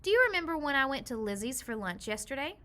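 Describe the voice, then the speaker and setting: A cross little girl grumbles through a storybook in a woman reader's voice. Her tone is bright and high, with a whiny, indignant edge to every complaint. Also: very animated, fast talker. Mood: complaining. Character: young girl, sulky and complaining.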